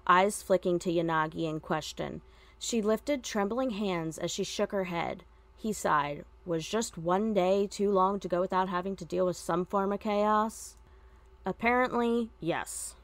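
Female narrator for fan fiction, lowish voice, dry recording. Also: no room sound. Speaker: fan fiction podfic reader. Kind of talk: scripted story narration.

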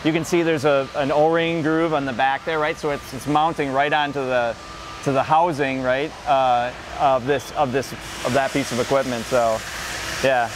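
A man's voice: medium pitch, fairly even intonation, normal speed. A middle-aged man with an American accent gives a casual, unscripted factory tour explanation, conversationally checking that his listener follows. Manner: conversational, often checking with "right?". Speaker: company representative giving a factory tour. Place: large indoor factory floor. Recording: noisy factory floor with machinery hum.

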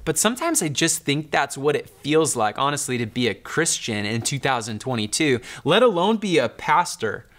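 A man's voice: medium pitch, very animated, moderate pace, hardly any pauses, fairly bright tone. A man in his thirties, a pastor, preaching a sermon in an earnest, conversational storytelling manner. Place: dark studio, indoors.

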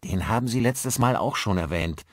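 Male narrator as bewildered man, urgent, questioning, raised pitch, audiobook character. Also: lively intonation, moderate pace, no echo, clean studio sound. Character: bewildered, urgent husband and father.

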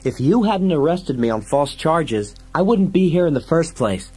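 A man voicing an excitable, whiny wisecracking crook in an audiobook, complaining and stammering, aggrieved and panicky. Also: on the high side, normal speed, slightly rough, voice pushed up. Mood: indignant. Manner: motor-mouthed, complaining, stammering. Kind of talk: dramatised audiobook science-fiction dialogue.

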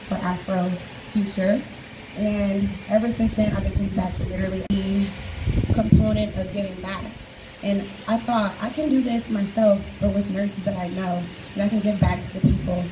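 A woman's voice: mid-range voice, background noise. Woman speaking to a garden crowd. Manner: friendly, conversational, with fillers. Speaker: event founder and organizer. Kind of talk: addressing an outdoor event crowd. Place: outdoor garden event. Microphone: handheld mic.

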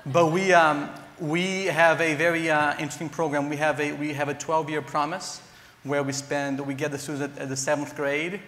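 Brazilian man, halting, echoey hall. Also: mid-range voice, a bit bright, a little hiss or hum. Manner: earnest, halting, frequent ums and restarts. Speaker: panelist, nonprofit director. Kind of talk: conference panel talk. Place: large conference hall stage. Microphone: lapel mic. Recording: echoey conference hall reverb.

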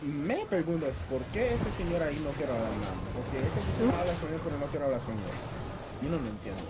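A man's voice: a little high, big pitch swings, fast, very noisy. A man bantering playfully in game voice chat, mixing Spanish and English as he teases. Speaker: gamer in voice chat.

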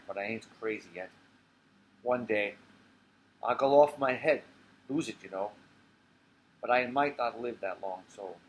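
A man's voice: medium pitch, a bit bright, natural voice, poor audio. A man playing a blunt, tired old barfly. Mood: weary, defiant. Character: tired, profane, streetwise old male barfly rambling to strangers. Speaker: playwright reading his own play. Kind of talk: dramatic monologue reading.

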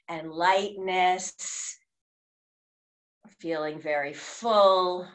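Woman, yoga teacher, very slow pace, slightly low pitch, soothing guided meditation, gentle pauses.

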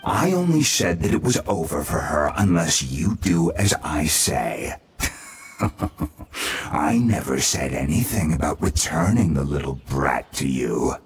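An actor playing a menacing, gloating samurai bandit boss in a video game, taunting and blustering. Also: male voice, very deep voice, very animated, takes it slowly, exaggerated voice. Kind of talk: video game character acting.

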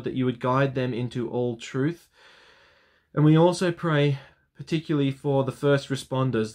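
A clergyman in his thirties speaks at his desk in a small study lined with bookshelves. His voice sits at an ordinary pitch for a man. He talks earnestly to his viewers and settles into a solemn, measured prayer cadence. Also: darkish tone. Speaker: Anglican clergyman. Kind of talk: vlog talk leading a spoken prayer. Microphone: webcam framing at desk.

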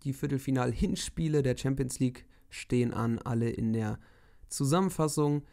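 Hoarse guy doing football recap commentary, bit of fry in his voice, lively. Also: medium pitch, normal speed. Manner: lively play-by-play summary. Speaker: host commentating simulated matches. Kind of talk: match summary commentary.

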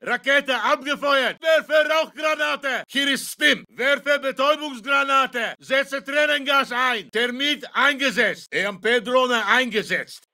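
An actor playing an alert, forceful soldier who barks clipped warnings in a video game. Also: male voice, very high voice, takes it slowly, few pauses, full character voice. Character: alert, forceful male soldier calling out threats. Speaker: military game character. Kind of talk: video game combat callouts.

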